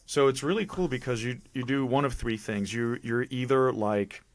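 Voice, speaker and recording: A man's voice: medium pitch, moderate pace, no room sound. A middle-aged man from Puerto Rico with a Puerto Rican accent, a native Spanish speaker telling stories emphatically as a podcast guest. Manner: animated, emphatic storytelling. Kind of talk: casual storytelling interview.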